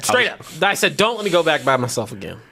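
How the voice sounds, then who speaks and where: A man's voice: on the high side, big pitch swings, fast talker, bright, forceful tone. A young man co-hosting a podcast, talking emphatically, swearing, and breaking into laughter. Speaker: podcast co-host. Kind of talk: casual chat.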